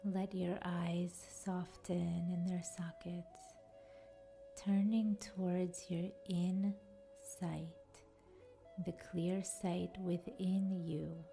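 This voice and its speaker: A woman with a soft, breathy voice that often nears a whisper, guiding a meditation in a soothing, deliberate manner. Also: lowish voice, level tone. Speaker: podcast host and yoga nidra guide. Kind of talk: guided meditation narration. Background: faint music.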